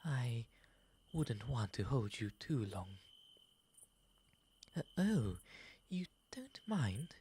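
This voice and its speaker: A man voicing a gentle, courteous owl demon gentleman in an ASMR roleplay, breathy and often whispering, with polite, formal, soothing phrasing. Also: mid-range voice, extremely slow, pauses often. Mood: courteous, friendly. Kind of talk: ASMR roleplay, intimate slow delivery. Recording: faint steady background ambience noise.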